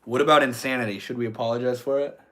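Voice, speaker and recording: A man's voice: mid-range voice, fairly even intonation, quick pace, pushed and bright. A young man with a stuffy nose, casually chatting with his livestream viewers and swearing freely. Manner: laid-back, swears often, chats with viewers. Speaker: livestreamer reading chat.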